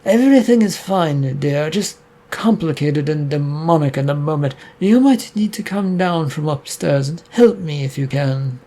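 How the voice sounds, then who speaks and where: Scared stubborn preacher standing up to a demon, husky tone, read by a man. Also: a little high, lively intonation, normal speed, mellow. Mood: fearful defiant. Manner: nervous but defiant. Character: fearful stubborn male preacher.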